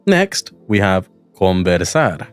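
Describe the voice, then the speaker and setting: A man with a London accent, hosting a Spanish lesson and speaking clearly, at a teaching pace. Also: sits low, sing-song, faint music behind. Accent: London English. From England. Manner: friendly, clear, teaching pace with repeat-after-me pauses. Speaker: language course host. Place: outdoors in a public garden.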